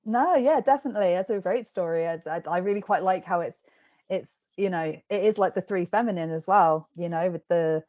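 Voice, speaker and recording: A woman's voice: fairly low pitch, a little fast, dark tone, muffled recording. A woman hosting a casual book review interview, chatty and giggly, with many fillers and restarts.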